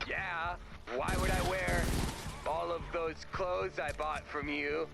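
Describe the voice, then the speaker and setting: A man's voice: on the high side, level tone, slow pace, creaky, very noisy. A man voicing a scruffy, defensive slacker in a video game, casual and hesitant. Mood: defensive, sheepish. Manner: casual, hesitant, self-justifying. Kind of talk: video game character voice acting. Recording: music behind the voice.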